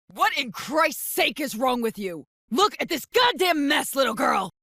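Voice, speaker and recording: Lady doing an angry dad in a horror story, loud, pitched up, scolding, super harsh. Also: very animated, measured pace, creaky, no echo, clean studio sound, exaggerated voice. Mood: furious. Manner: scolding, contemptuous, forceful.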